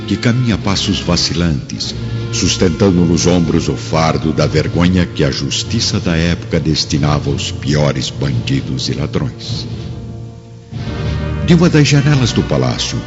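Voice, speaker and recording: A male narrator with a low voice tells a dramatic story solemnly and in literary phrasing. The recording is dull, with clear music behind him. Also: lively intonation, normal speed.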